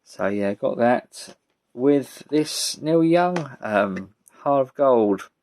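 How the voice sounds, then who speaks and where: A British man giving a casual, rambling show-and-tell as a vlogger, speaking in a halting, low-key way. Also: animated, darkish tone. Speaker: vlogger showing a music collection.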